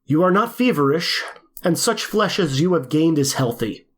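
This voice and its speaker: An actor playing a measured, husky doctor. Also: male voice, fairly high pitch, lively intonation, fairly bright tone. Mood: reassuring. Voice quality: measured, formal. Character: calm, measured country doctor, middle-aged man.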